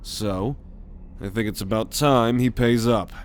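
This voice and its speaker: A man performs an alien scout captain in a sci-fi ASMR drama. His voice sits low. He gives orders with calm authority and a protective, roguish edge. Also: natural voice. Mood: gruff, resolute. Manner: authoritative, measured, giving orders. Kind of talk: ASMR sci-fi roleplay acting.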